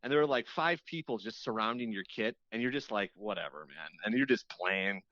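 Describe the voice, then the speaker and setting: A man chatting casually as an interview guest, telling anecdotes in a halting, conversational way and acting out reactions. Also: fairly low pitch, lively intonation, pushed and bright, no room sound, lo-fi sound. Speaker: podcast guest, radio host. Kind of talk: casual reminiscing chat.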